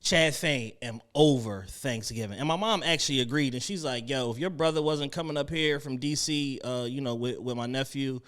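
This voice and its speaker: A man's voice: medium pitch, moderate pace, hardly any pauses, fairly bright tone, clear, resonant tone. A man in his thirties giving emphatic, slangy opinions with swearing, in casual podcast banter. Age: 30s.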